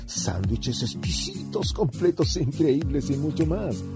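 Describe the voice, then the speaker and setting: Animated male ad announcer over music. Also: medium pitch, unhurried. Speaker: advert announcer. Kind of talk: promotional radio ad read. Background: prominent music.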